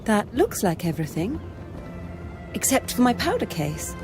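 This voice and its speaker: An actress playing a young, well-bred British lady with an educated English accent, outspoken and expressive, in a video game. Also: mid-range voice, normal speed, over music, close to an everyday voice. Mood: polite, chatty. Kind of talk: video game dialogue acting.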